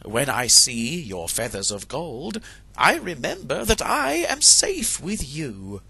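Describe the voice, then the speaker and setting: Gentle hen wife voiced by a man, raised soothing tone, storybook, bright breathy sound. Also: huge pitch swings, slow pace, full character voice, poor audio. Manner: soothing, high-pitched.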